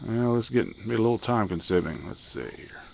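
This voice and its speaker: A man giving a casual hands-on technical walkthrough as a radio hobbyist, halting and matter-of-fact, picked up on a handheld camera mic. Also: lowish voice, gravelly, highs cut off, slight background noise. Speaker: amateur radio hobbyist demonstrating a modified radio.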